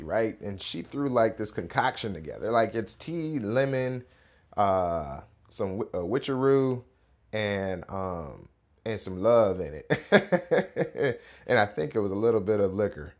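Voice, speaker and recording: A man in his thirties hosting a casual morning podcast, his voice hoarse and a little raspy, rambling with fillers. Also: on the low side, takes it slowly. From Rochester, New York area. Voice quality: relaxed, rambling delivery with many fillers. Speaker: podcast host.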